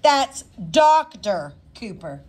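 A woman's voice: medium pitch, big pitch swings, extremely slow, a little mellow, slightly rough, heavily acted. Woman reading as polite, formal school receptionist. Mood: guarded. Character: guarded, then respectful female school receptionist.

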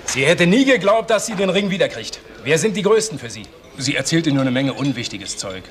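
An actor playing an offhand, dismissive police investigator reporting back in a German crime radio play. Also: male voice, fairly high pitch, sing-song, brisk. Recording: faintly noisy radio drama recording.

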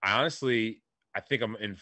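A man's voice: lowish voice, a little fast, pushed and bright, no echo. A man co-hosting a casual comedy podcast on a video call, joking in a mock-dramatic way.

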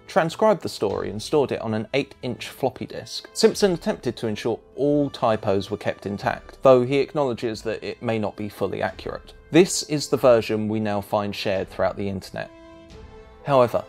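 Male essay narrator, creaky edge, wry and emphatic scripted read over faint music. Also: mid-range voice, animated, moderate pace, a little mellow.

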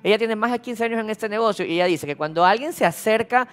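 A male co-host in his forties with a smooth, clear, somewhat high voice. He rambles enthusiastically and preaches about exercise and balance. He is recorded on a lapel mic on a TV studio set. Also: fast, a bit bright. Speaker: talk show co-host. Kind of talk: casual studio chat.

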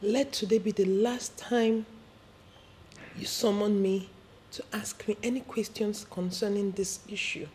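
A woman playing the summoned spirit of a dead mother, who speaks slowly and solemnly with a commanding tone. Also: mid-range voice, steady tone, darkish tone, a little hiss or hum, close to an everyday voice. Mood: stern.

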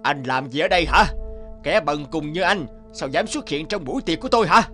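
A man's voice: fairly high pitch, lively intonation, fairly quick, bright, forceful tone, faint music behind. An actor playing a haughty middle-aged rich man in a fairy-tale cartoon, scornful and barking orders. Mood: outraged scorn.

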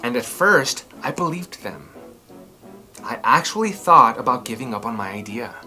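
A man reads a picture book aloud for children with big, emphatic expression. His pitch moves in lively sweeps and his tone is bright and forward. Soft music plays behind him. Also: moderate pace. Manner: theatrical, emphatic delivery. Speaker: children's storytime host. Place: small furnished room at home, indoors.

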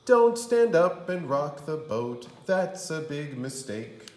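Male kindergarten teacher for children's rhyme read-aloud: theatrical and sing-song, swooping pitch, recorded in a roomy space. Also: very animated, takes it slowly, darkish tone. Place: indoor room. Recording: roomy indoor echo.

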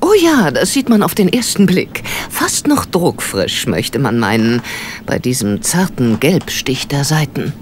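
A sardonic, doubting lady sidekick in a radio mystery. Her very deep voice swings widely in pitch, against clearly noisy ambience. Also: very animated, unhurried, heavily acted. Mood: sarcastic. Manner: dry, sarcastic, doubting. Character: skeptical, sardonic female companion of an amateur sleuth. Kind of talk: German radio play acting.

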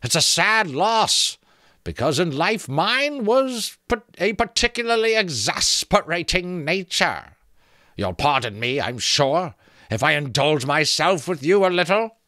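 Man doing a high, slow, creaking skull, spiteful and cackling, dry close mic. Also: very animated, sharp tone, no echo, full character voice. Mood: mocking. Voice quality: creaking, rattling voice. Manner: teasing, drawn-out, slow delivery.

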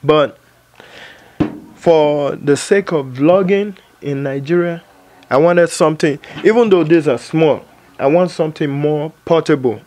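A man's voice: on the high side, measured pace, darkish tone, a little hiss or hum. A man in his thirties talking casually to camera in his home editing studio, thinking aloud with plenty of fillers. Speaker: vlogger and filmmaker. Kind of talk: casual vlog talk.

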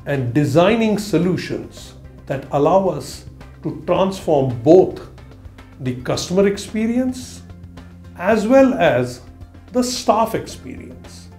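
A man in his fifties answering interview questions as an executive, with halting, careful phrasing, in an office. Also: a little high, mellow. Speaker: bank technology executive. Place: small office, indoors. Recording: roomy echo, music underneath.

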